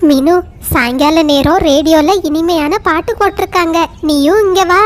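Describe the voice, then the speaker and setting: A woman's voice: extremely high pitch, normal speed. A woman voicing a lazy, carefree female crow in a moral cartoon, whiny and childlike.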